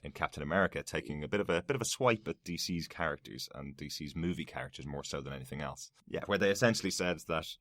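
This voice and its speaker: Deep-voiced man hosting a podcast. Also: fast talker, hardly any pauses, fairly bright tone, dry recording, studio quality. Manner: chatty, laughs easily, many fillers and restarts. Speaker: podcast host. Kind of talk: casual podcast chat.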